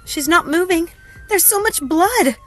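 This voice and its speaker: Brief: female narrator as anxious big sister in a dramatic audiobook, protective, imploring, raised pitch. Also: brisk, faint music behind, close to an everyday voice. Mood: frantic. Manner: pleading, urgent. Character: protective older sister, coaxing, then frantic with grief.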